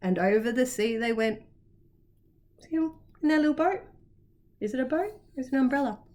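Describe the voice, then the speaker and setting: A woman reading a picture book to young children in an animated, expressive way, with playful asides. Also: sits high, moderate pace. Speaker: children's story reader, kindergarten teacher.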